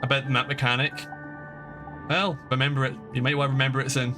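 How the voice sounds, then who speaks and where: A man's voice: mid-range voice, flat delivery, fast talker, music in the background. A man chatting casually and informally as he streams a game and talks to his viewers. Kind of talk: casual live stream commentary.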